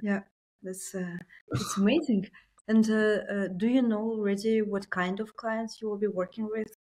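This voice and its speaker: A woman's voice: mid-range voice, fairly even intonation, moderate pace, warm tone. A woman interviewer who asks questions and answers with brief, encouraging affirmations. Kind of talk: conversational interview.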